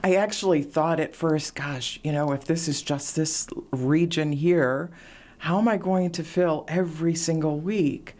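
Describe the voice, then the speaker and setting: A middle-aged woman, a radio host talking as a guest and sharing stories in relaxed conversation, with fillers. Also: sits low, measured pace. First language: Latvian. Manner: warm storytelling, reflective, frequent fillers. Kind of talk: casual interview chat.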